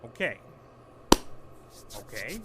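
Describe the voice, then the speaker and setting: A man's voice: slow, very noisy, natural voice. A man playing an exasperated, sardonic company executive under pressure in an audio drama, urgent and commanding.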